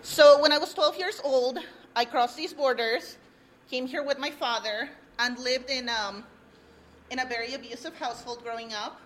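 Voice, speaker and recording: Candid Peru-born woman, bright voice. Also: sits high, normal speed, a little hiss or hum, poor audio. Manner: candid and earnest, with light nervous laughs. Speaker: workshop presenter. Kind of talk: personal testimony at a workshop. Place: workshop room with a live audience. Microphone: no handheld mic, room recorder.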